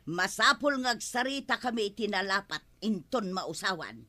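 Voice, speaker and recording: An actress with an Ilocano Filipino accent playing a nagging, suspicious village wife in a radio comedy drama. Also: female voice, mid-range voice, animated, normal speed, sharp tone. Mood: puzzled, suspicious.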